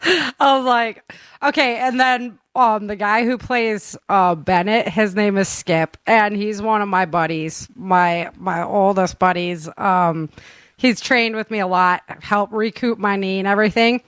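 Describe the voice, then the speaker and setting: A woman hosting a podcast in casual chat, telling stories in an animated, giggly way. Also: mid-range voice, unhurried, clean tone, no room sound. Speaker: podcast host and audio drama creator.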